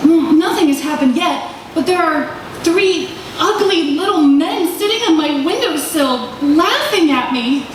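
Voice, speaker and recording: A feisty young female reporter from an old radio serial, acted by a woman, insistent and easily exasperated. Her high voice swings widely and rings through a very echoey, noisy room. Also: lively intonation, a little slow, far from the mic. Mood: alarmed, insistent. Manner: exasperated, sharp-tongued, insistent. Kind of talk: 1940s radio acting. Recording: roomy, noisy live stage recording from afar.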